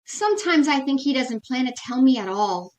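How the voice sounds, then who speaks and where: Woman playing a high-pitched, fluttery sitcom housewife. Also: animated, moderate pace, a little mellow. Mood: cheerful, earnest. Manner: fluttery, exaggerated, comic. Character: scatterbrained, flustered sitcom housewife, sweetly scheming. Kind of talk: old-time radio sitcom acting.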